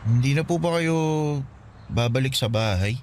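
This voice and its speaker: A man's voice: medium pitch, lively intonation, moderate pace, slight background noise, lightly acted. A man playing a hurt teenage son, resentful and pleading, in a radio drama. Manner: pleading, resentful, polite to elders. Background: music.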